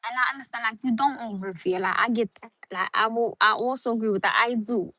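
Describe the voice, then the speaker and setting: A woman's voice: a little high, quick pace, sharp tone, no room sound. A young woman from South Norwalk chatting casually to viewers on a phone livestream, rambling earnestly with lots of "like" fillers. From South Norwalk, Connecticut. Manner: rambling, repeats herself, many "like" fillers, earnest. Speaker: livestream host.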